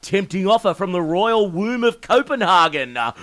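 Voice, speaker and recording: A man's voice: sits high, very animated, normal speed, a bit bright. A man playing a slick, mocking Australian breakfast radio host in a comedy sketch, glib and exaggerated. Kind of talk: parody radio DJ patter.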